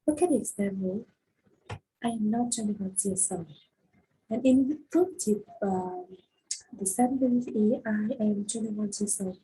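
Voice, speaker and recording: A woman's voice: medium pitch, measured pace, mellow, slightly rough, poor audio. A young woman in her twenties with a Vietnamese accent, teaching a recorded grammar lesson with many hesitations and fillers. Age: 20s. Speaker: English teacher.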